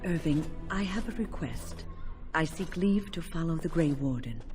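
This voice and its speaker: A woman's voice: low voice, expressive, normal speed, darkish tone. An actress playing a kindly, steadfast older female mage in a fantasy game, speaking in a composed, earnest, formal way. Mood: earnest, resolute. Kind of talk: fantasy video game voice acting. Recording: music behind the voice.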